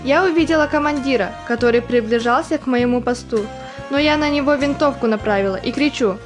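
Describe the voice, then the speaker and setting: A woman playing an earnest, excitable young army sentry in an amateur audio play. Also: sits high, brisk, music in the background, lightly acted. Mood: eager, recalling.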